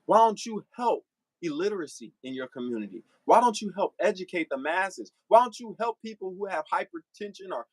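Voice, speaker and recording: A man's voice: high voice, big pitch swings, fairly quick, no echo, low-quality recording. A man giving an impassioned, preachy livestream monologue to his viewers, full of rhetorical questions and repeated phrases. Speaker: streamer addressing live chat.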